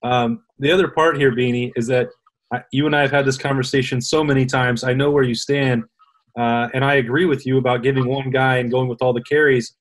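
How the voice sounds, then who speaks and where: A man's voice: mid-range voice, fairly even intonation, fairly quick, poor audio. A man in his thirties hosting a casual sports interview, setting up questions and video clips in a conversational way. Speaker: host, sports reporter. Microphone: video call.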